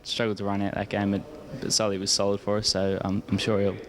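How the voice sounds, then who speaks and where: A man's voice: on the low side, completely flat delivery, a little fast. A young man with an Australian accent giving casual, hesitant, rambling answers as an interviewed athlete. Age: young adult. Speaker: Australian rules footballer being interviewed.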